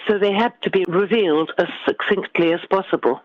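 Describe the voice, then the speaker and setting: A middle-aged British woman, an author giving measured, formally phrased answers in a literary interview. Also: on the low side, no echo, narrow, tinny audio. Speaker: guest author.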